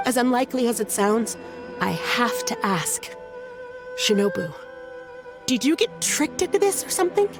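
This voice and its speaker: A woman playing an earnest, worrying young shrine maiden in a video game, with an expressive, questioning manner. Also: on the high side, normal speed, pauses often, music in the background. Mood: probing, worried. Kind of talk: English video game voice acting.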